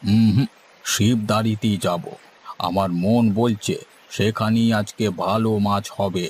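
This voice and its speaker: A man's voice: fairly low pitch, steady tone, slow. A man plays a seasoned river fisherman. He is boastful and folksy, instructing his junior like a know-it-all elder brother in a cartoon tale. Faint ambient noise sits behind him. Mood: assured, explaining. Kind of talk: Bengali cartoon story dialogue.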